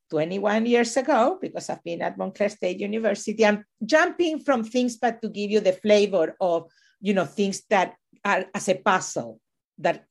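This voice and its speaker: A woman's voice: medium pitch, gravelly, darkish tone. A middle-aged woman from Chile, raised in Venezuela, talking as a university administrator and professor in an interview, thoughtful and discursive with many fillers and restarts. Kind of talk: reflective interview conversation.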